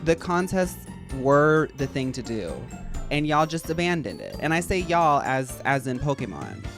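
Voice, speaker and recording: A man with a high, feminine-sounding voice, chatting casually and rambling to his live-stream audience while he plays. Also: very animated, measured pace, faint music behind. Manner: rambling, chatty, addresses chat as y'all. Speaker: game streamer.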